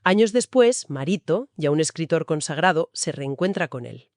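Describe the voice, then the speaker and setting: A woman's voice: sits low, expressive, moderate pace, darkish tone, no echo, studio quality. A woman co-hosting a book discussion, giving emphatic, conversational literary commentary.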